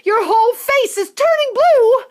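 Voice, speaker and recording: A frantic mother shrieks at the top of her range, her voice leaping wildly and shrill. It is storybook acting, loud and piercing. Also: expressive, unhurried. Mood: hysterical. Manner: screaming, shrill. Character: shrieking panicked mother, middle-aged woman.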